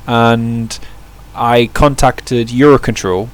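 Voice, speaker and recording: A man's voice: mid-range voice, fairly even intonation, takes it slowly, clean tone. A man giving casual live-stream commentary over a flight simulator, chatting with viewers and often restarting his sentences. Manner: chatty, answers viewer chat, self-correcting restarts.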